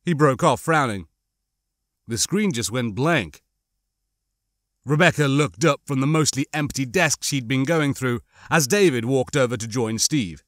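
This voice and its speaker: A man's voice: medium pitch, lively intonation, measured pace, no echo, clean studio sound. A man narrating a horror novel as an audiobook, reading a dramatic scripted story.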